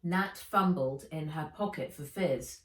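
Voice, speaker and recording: A woman narrates a children's adventure book at a measured, slow pace. Her fairly low voice swings expressively through the sentences, theatrical and clear in intent. She sounds roomy and set back from the microphone. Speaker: author reading own children's book. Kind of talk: dramatised audiobook narration. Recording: roomy, echoey, distant microphone.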